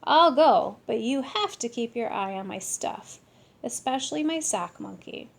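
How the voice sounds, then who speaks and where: Woman voicing an excitable, high-pitched little kitty boy. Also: a little slow, vocal fry, full character voice. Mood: eager. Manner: exclamatory, bold, playful slangy speech. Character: excitable young male kitty boy playing Chihuahua astronaut.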